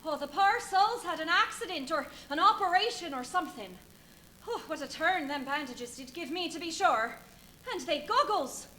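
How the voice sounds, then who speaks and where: A woman's voice: very high voice, expressive, moderate pace, bright, forceful tone, exaggerated voice. A woman playing a chatty, bossy village innkeeper's wife in a live radio play, fussy, gossipy and full of exclamations. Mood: gossipy, flustered. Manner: gossipy, exclamatory, deferential to guests, scolding the maid.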